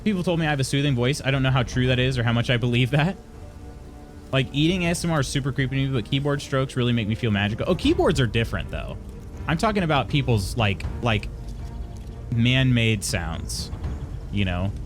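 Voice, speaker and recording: A young adult man streaming live, reading out chat messages and answering them in a halting, chatty way with frequent restarts. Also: medium pitch, lively intonation, soft background music.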